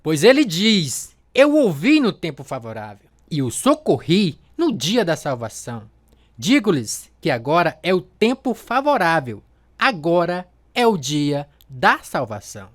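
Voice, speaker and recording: A man's voice: fairly high pitch, huge pitch swings, a little slow, no room sound. A man acting a fervent evangelist in a radio drama, declaiming scripture emphatically and urging his listener. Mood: fervent, urgent. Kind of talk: dramatized sermon.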